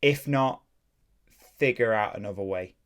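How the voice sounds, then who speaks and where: Low-voiced fitness coach rambling. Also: male voice, a little slow, smooth. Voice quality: plain, even voice. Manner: rambling, self-correcting, drops into mild ranting. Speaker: fitness coach and podcast host. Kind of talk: casual solo podcast talk.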